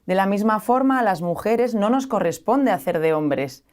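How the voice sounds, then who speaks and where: A woman's voice: medium pitch, animated, moderate pace, a little mellow. A woman from San Sebastián with a strong Basque Spanish accent, a guest in a studio interview who lays out long, reflective arguments. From San Sebastián, Spain. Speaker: guest, association founder.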